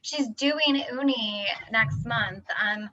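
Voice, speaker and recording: A woman moderating a casual video-call chat in an upbeat, quick promotional way. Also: on the high side, sharp tone. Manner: upbeat, fast promotional talk. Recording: dull lo-fi video-call audio.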